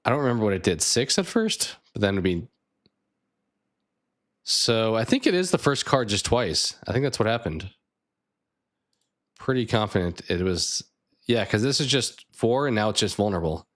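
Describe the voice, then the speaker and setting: A man streaming a card game live, thinking aloud and chatting with viewers, his voice a bit raspy. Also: lowish voice, very animated, moderate pace, pauses often, a bit bright. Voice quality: slightly raspy. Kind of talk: casual live gameplay chat.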